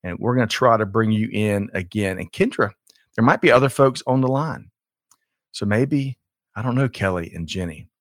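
A man's voice: fairly low pitch, normal speed, dry recording. Chatty man host, dry sound. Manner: upbeat, chatty, self-correcting. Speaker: show host. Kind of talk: podcast hosting.

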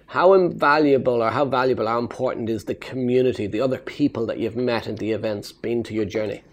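A man's voice: mid-range voice, fast talker. A man hosting an interview, asking prompting questions. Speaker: interview host.

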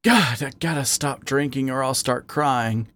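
A man's voice: mid-range voice, measured pace, fairly bright tone, natural voice. A man voicing a drunk, self-hating male raccoon who snarls out his pain. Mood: rueful. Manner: snarling, anguished outbursts.

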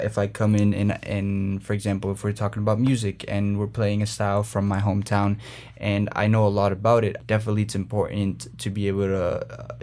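Low flat-voiced young man. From Colombia. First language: Spanish. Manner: earnest, many restarts and fillers. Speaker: guest, former student drummer. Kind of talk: interview answers.

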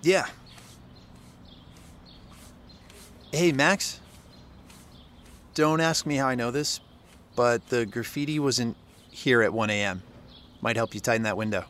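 Sardonic young male reporter, played by an actor, dry and restless, mid pitch, audio drama with ambience behind. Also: measured pace, long pauses, fairly bright tone, slight background noise, lightly acted. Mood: wry. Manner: dry, frustrated, wry. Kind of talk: naturalistic audio drama dialogue.

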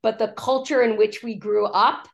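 A woman executive coach, hoarse from a cough, talking in a podcast interview and acting out quoted speech as she tells stories. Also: a little high, moderate pace. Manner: animated storytelling, acts out quoted speech.

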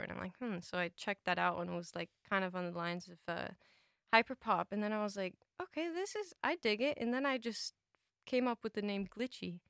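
A woman's voice: medium pitch, fairly bright tone, no echo, clean studio sound. A young woman chatting casually as an interview guest, informal and peppering her stories with "like". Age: young adult. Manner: informal, filler-heavy with "like", quotes her own thoughts. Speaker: musician guest. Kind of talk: casual chat. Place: radio studio.

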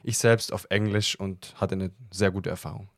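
A man's voice: sits low, fairly even intonation, moderate pace, vocal fry, no room sound, clean studio sound. A talkative man hosting a casual podcast chat, often breaking into laughter while answering questions. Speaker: podcast host.

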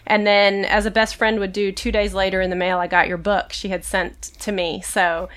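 Woman, emergency nurse, podcast host, congested from a cold, sniffly, relaxed, laughs often, medium pitch. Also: moderate pace. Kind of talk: casual interview chat.

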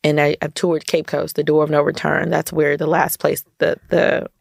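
A woman's voice: deep voice, level tone, moderate pace, gravelly, no echo, clean studio sound. An American woman talking emphatically in a casual interview, often repeating phrases for stress as a podcast guest. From United States. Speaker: podcast guest, entrepreneur and community activist.

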